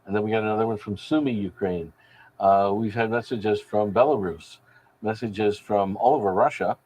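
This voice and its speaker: A man speaking as a station manager in a news interview, explaining things in a measured way. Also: fairly low pitch, darkish tone, low-quality recording. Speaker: radio station general manager.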